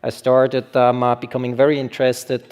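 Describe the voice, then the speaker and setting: A man with a medium-pitched voice. He talks conversationally as a chief executive introducing himself on a panel. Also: monotone, normal speed, a little mellow, clear, resonant tone. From Switzerland.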